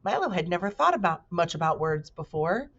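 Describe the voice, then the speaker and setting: Woman teacher doing an expressive read-aloud of children's fiction, lowish register, lo-fi recording. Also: brisk. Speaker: teacher reading aloud to pupils. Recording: dull lo-fi recording.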